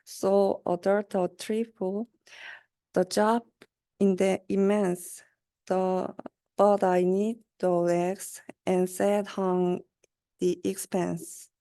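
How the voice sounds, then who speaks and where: Halting young woman slowly reading rhymes. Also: mid-range voice, level tone, mellow, clean tone, no echo. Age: young adult. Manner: halting, stumbling over words. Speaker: student reader. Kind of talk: reading a rhyming children's book aloud.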